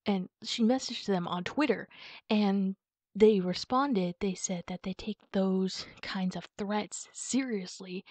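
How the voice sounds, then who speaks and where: A woman speaking casually and haltingly in a comforting ASMR roleplay, as a reassuring friend talking to the listener. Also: mid-range voice, darkish tone, no echo. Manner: reassuring, informal, halting with pauses. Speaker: ASMR roleplay performer playing a supportive friend.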